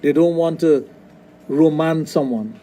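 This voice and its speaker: Blunt Trinidadian man on radio, higher pitch. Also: unhurried, mellow. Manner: repeats words, restarts often, blunt. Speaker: sexologist radio guest. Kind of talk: casual radio talk. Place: radio studio.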